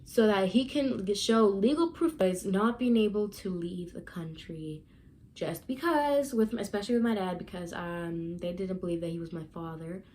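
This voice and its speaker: A woman's voice: mid-range voice, moderate pace, a little mellow. A young woman from the United States telling a long personal story to her viewers in a casual, chatty way, full of fillers and asides to the audience. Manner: chatty, addresses viewers as y'all, many fillers. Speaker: vlogger.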